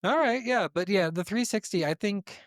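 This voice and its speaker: A man's voice: high voice, normal speed, no room sound. Blunt man in dry-sounding podcast banter. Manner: blunt, profane, joking. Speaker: podcast co-host. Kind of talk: casual gaming podcast chat.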